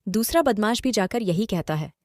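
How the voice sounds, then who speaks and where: A woman's voice: mid-range voice, lively intonation, normal speed, no room sound, clean studio sound. A woman narrating a scripted story as host and storyteller.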